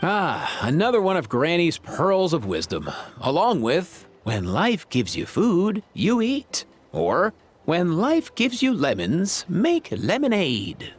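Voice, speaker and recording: A man playing an easygoing, upbeat young bro in a video game, with a breezy, slangy, slightly husky delivery. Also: high-pitched, wildly swooping pitch, takes it slowly, a little hiss or hum, full character voice. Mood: playful. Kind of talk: animated video game acting. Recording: faint game music under the voice.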